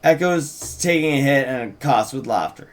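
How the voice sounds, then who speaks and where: A man's voice: mid-range voice, animated, normal speed. A man narrating a script in a casual read-through, with informal asides. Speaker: co-writer reading a script at a table read.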